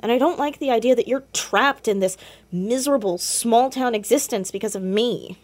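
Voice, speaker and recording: A woman's voice: fairly high pitch, moderate pace, lightly acted. A young woman playing a blunt, sardonic, self-conscious teenage girl in a naturalistic audio drama, easily exasperated. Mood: defensive, uneasy.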